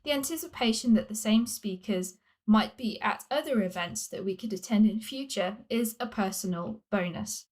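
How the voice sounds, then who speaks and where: A woman's voice: medium pitch, darkish tone. Woman from Wales reading non-fiction. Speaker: author reading own book. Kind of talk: scripted non-fiction audiobook-style reading.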